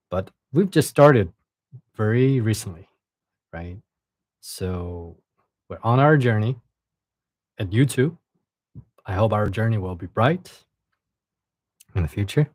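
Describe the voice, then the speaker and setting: Halting man. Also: fairly low pitch, darkish tone, no room sound. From China. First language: Chinese. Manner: halting, repeats words and restarts. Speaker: livestream host and reader. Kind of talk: casual livestream chat and reading a novel aloud.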